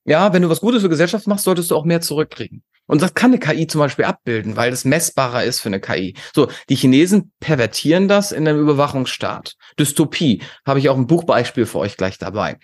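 A man's voice: a little high, animated, fast, few pauses. A man from Germany talking as a podcast guest, giving opinions emphatically and outspokenly with rhetorical questions. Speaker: podcast guest, conference founder. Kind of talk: opinionated interview talk.

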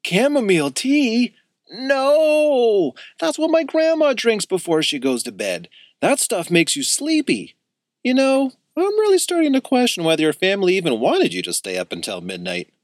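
A man voicing an excitable boy who plans a wild party, talking eagerly and in a rush. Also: on the high side, normal speed, no room sound, clean studio sound, pitched above the performer's own voice. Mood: excited. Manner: eager, breathless schemer.

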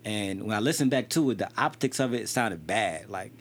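A man's voice: on the low side, animated, moderate pace, husky. A man in his thirties hosting a podcast in casual conversation, often asking "you know what I mean". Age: 30s. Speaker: podcast host.

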